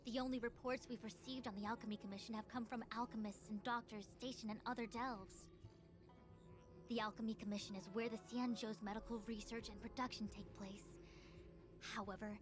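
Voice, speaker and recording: A proud young commander and seer played by a woman speaks in a raised, formal register. She is exacting and imperious, in polished video game dialogue with music clearly behind her. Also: a little high, lightly acted. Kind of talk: scripted game dialogue, English dub. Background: background game music.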